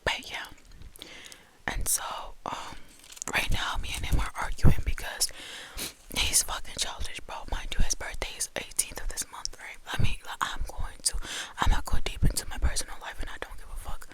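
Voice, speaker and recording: A young woman whispering a casual, profane storytime at home, full of "like" and quick quoted asides. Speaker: vlogger.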